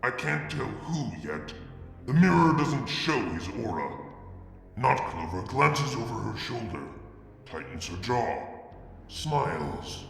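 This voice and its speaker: Man narrating a story, animated pitch, long room reverb. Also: slow, gravelly, faint music behind. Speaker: fanfic reader. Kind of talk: dramatic story reading.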